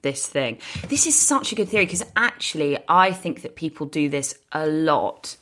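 A woman's voice: low voice, expressive, moderate pace, a bit bright. A woman co-hosting a podcast in casual chat, musing aloud and repeating phrases for emphasis. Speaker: podcast co-host.

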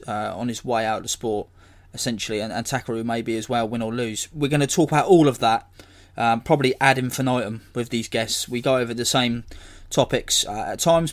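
A hoarse man, hosting a casual solo podcast and rambling as he corrects himself. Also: mid-range voice, steady tone, a little fast, hardly any pauses. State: hoarse from illness. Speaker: podcast host.

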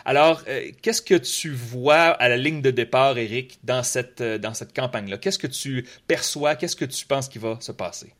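A man with a Quebec French accent hosting a casual political podcast, relaxed and talkative, often repeating words as he searches for the next one. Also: mid-range voice, normal speed, hardly any pauses. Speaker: podcast host, political columnist. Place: in Quebec City.

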